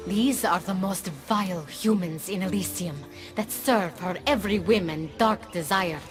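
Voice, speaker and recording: A woman's voice: mid-range voice, normal speed, close to an everyday voice. Actress as a cool, sly female conspirator over music. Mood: cool, commanding. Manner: dry, condescending, controlled. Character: cool, sly woman plotting poisonings as a rebel ally. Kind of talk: video game cutscene acting. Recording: music behind the voice.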